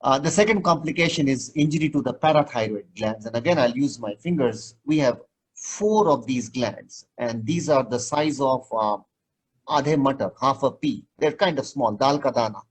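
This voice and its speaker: A man's voice: medium pitch, normal speed, husky, rough recording. A male surgeon answering interview questions, explaining procedures patiently with plain everyday comparisons. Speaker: surgeon guest.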